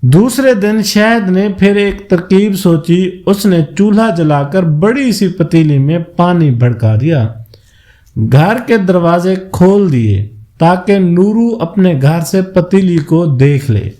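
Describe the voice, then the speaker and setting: Guy reading a moral folk tale, slow and mellow, kinda higher voice. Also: expressive. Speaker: storyteller.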